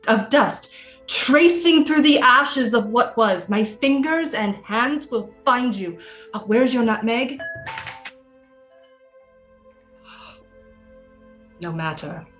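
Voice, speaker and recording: A woman's voice: on the high side, fairly bright tone. A woman playing an imperious, lovelorn French queen in a theatrical period audio drama, with haughty, grandiose formal diction. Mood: wistful, searching.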